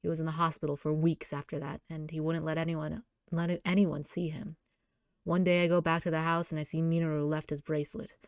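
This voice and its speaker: A woman voicing a brooding, injured teenage delinquent boy in a low, subdued, terse grumble for a visual novel. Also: level tone, fairly quick, no room sound, studio quality. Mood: angry, regretful.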